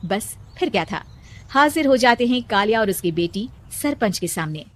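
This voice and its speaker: A woman's voice: mid-range voice, expressive, normal speed, dry recording. A woman narrator reading a scripted moral story.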